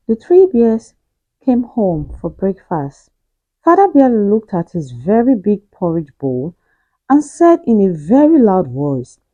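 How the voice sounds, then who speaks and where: A woman reads a children's story aloud as a storyteller, with deliberate, emphatic delivery and drawn-out key phrases. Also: mid-range voice, dark tone, no echo, dull audio. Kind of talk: expressive children's storybook narration.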